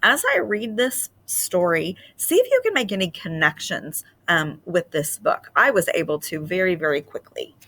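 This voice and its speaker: A woman reading a children's picture book aloud in an expressive storytelling style. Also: on the low side, normal speed, hardly any pauses.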